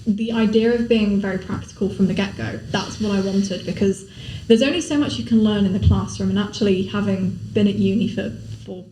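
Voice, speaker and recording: A woman's voice: mid-range voice, a little fast, warm tone, echoey room, a little hiss or hum, rough recording. A young woman with a Somerset accent, a law apprentice explaining her path as a guest in a podcast interview. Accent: Somerset English. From Somerset, England. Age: young adult. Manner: articulate, explanatory.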